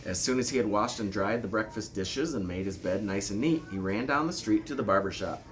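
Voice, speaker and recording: A man with a fairly low voice reads a children's story aloud. His delivery is deliberate and expressive, and there is noticeable noise behind him. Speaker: elementary school principal reading aloud.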